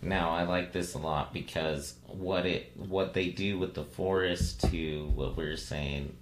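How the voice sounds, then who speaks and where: A man's voice: steady tone, measured pace. A man co-hosting a casual podcast discussion, musing aloud and often checking in with little tag questions. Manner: musing, tentative, often checking with "right?" and "you know". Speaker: podcast co-host. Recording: roomy echo, low hum and hiss.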